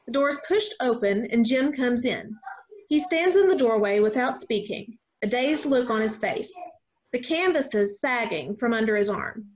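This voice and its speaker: A woman with a slightly high voice reads stage directions in a scripted narration style. The audio is dull and lo-fi, like a video call. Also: expressive, moderate pace.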